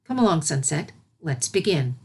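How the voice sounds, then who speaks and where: Woman as a low, gentle princess teacher. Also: big pitch swings, moderate pace, breathy edge, full character voice. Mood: gentle, reassuring. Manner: gentle, composed. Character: calm regal adult female alicorn princess, patient teacher. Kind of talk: storybook character voice in fan fiction reading.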